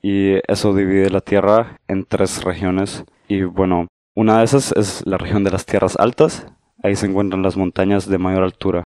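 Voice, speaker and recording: A man from Guatemala in his thirties, with a Guatemalan Spanish accent, calmly and modestly explaining his country as a podcast guest. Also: low voice, dry recording, studio quality. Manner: calm, measured, modest explainer. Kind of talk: casual explanatory interview talk.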